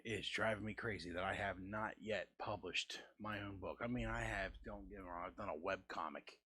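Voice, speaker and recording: A husky man in his forties chats to viewers in a low voice, slowed and deliberate, with regular stammers and restarts. The sound is dry and close, with no room around it. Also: sharp tone, no echo. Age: 40s. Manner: halting, restarts and stammers, deliberate lowered delivery. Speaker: host. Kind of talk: casual art-stream chat.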